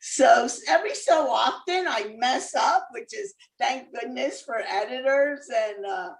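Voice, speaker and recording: A woman's voice: on the high side, measured pace, gravelly, reverberant, recorded from a distance, poor audio. A woman from Cuba, an author and former dentist, talking casually as an interview guest with easy laughs and many fillers.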